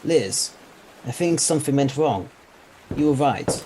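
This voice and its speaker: A man's voice: mid-range voice, animated, measured pace, mellow, a little hiss or hum, natural voice, low-quality recording. A man playing an eccentric, confident scientist time traveller in an audio drama, speaking articulately with a mildly superior air. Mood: troubled.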